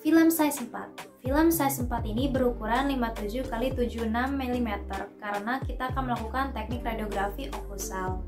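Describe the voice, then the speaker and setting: A woman's voice: fairly high pitch, fairly quick. A young woman narrates a scripted instructional demonstration, explaining each step politely and carefully. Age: young adult. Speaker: dental student demonstrating a procedure. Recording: echoey room with music behind.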